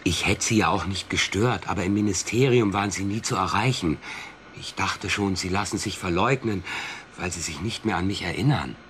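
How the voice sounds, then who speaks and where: An actor playing a proud, wheedling small-town farmer in a radio drama, speaking in a familiar, insistent and emotional way. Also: male voice, low-pitched, moderate pace, background noise, lightly acted. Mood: ingratiating. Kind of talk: German radio drama acting.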